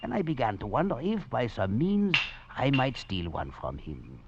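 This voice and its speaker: Swaggering French convict, dramatic swooping delivery. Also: male voice, medium pitch, wildly swooping pitch, moderate pace, gravelly, very noisy. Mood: brooding, wry. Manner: theatrical, florid, wry chuckles. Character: swaggering escaped French convict, philosophizing rogue. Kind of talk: 1940s radio drama acting and narration. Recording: muffled old radio recording with music.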